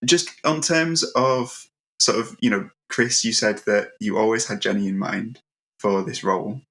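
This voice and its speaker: A man hosting a casual interview, enthusiastic but halting, often repeating words as he goes. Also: on the low side, fairly bright tone. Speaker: interview host.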